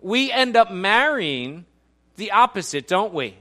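A man's voice: sits high, big pitch swings, measured pace, sharp tone. A man in his forties preaching a sermon in a church auditorium, talking in a conversational, joking way and quizzing the congregation. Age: 40s. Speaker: pastor.

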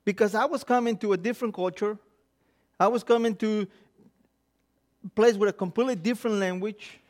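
High-voiced Mexican-accented preacher. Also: male voice, a little fast. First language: Spanish. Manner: animated storytelling with quoted inner voices, frequent restarts. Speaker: pastor. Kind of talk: sermon.

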